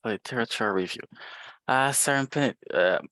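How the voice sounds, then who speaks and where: A man whose first language is French, giving a webinar presentation over a video call in a halting way with many fillers. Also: medium pitch, fairly bright tone, no echo. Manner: hesitant, many filler sounds. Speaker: guest presenter, librarian.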